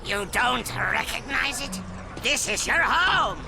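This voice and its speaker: A theatrical robot mad scientist villain, gloating and egomaniac. His gravelly voice leaps up into shrieks and stretches words out slowly. It is a video game cutscene performance. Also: very high-pitched, expressive, background noise, full character voice.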